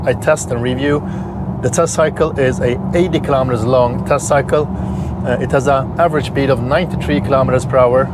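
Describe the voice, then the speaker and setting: A man's voice: mid-range voice, very noisy. Swedish man explaining while driving. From Sweden. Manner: plain, explanatory, slightly halting with repeated words. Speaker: electric car reviewer. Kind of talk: casual vlog narration while driving.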